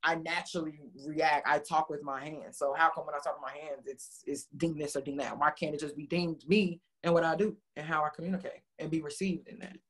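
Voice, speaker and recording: A woman with a deep, heavy voice speaking passionately as a guest in a casual interview over a video call, full of "like" and "you know". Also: a little fast, few pauses, slightly rough. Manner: passionate, expressive, many "like" and "you know" fillers.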